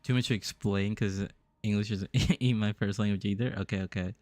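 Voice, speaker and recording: A man's voice: fairly low pitch, fairly even intonation, a little fast, darkish tone, dry recording. A young adult man from the US East Coast, musing aloud and answering chat during a casual game stream. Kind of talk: casual live-stream chat while playing.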